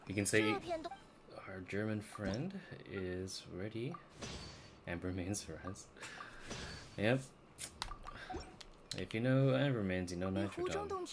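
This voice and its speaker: A man's voice: deep voice, noisy background. A man streaming a video game and chatting casually in slangy, informal language. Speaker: live streamer. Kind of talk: casual gaming stream chat.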